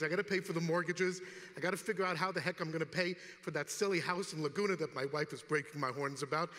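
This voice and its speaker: A man's voice: on the high side, sharp tone. A man in his sixties with a New York accent, speaking bluntly and jokingly in short punchy lines at a conference. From New York City, United States. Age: 60s. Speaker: shipping executive, conference speaker. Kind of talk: informal conference keynote. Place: large conference stage, indoors. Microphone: lapel. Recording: hall reverb from a stage sound system.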